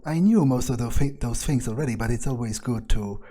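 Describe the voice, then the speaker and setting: A man's voice: medium pitch, brisk, warm tone, lightly acted. A man playing a wary, foul-mouthed vampire in a game playthrough, thinking aloud in a hesitant mutter. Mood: musing. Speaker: let's player. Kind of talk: in-character gameplay commentary, thinking aloud. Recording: noticeable background noise.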